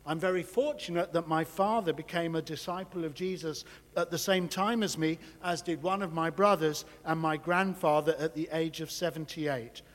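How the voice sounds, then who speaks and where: High-voiced man from England, fluent. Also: steady tone. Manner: fluent, measured. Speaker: author. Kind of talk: prepared testimony. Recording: long hall reverb.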